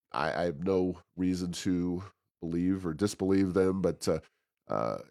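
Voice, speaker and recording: An American man co-hosting a conversational political commentary podcast, speaking in a measured, halting, hedging way. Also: low voice, a little mellow, no room sound, studio quality.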